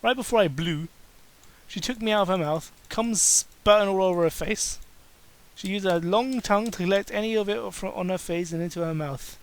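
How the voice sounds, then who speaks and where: A man's voice: high-pitched, normal speed, rough recording. A man doing a mocking dramatic reading, breaking into exasperated, sarcastic, sweary asides. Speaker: amateur reader and commentator.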